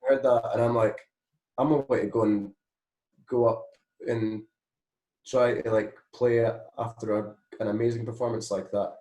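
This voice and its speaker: A Scottish man, a musician being interviewed, telling anecdotes casually in a rambling, animated way. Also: on the low side, moderate pace, reverberant, rough recording. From Scotland.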